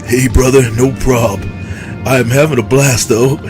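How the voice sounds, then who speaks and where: A man's voice: medium pitch, steady tone, measured pace, music in the background, lightly acted. A man playing a laid-back, starstruck champion wrestler with a casual, eager manner in an audio drama. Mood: easygoing.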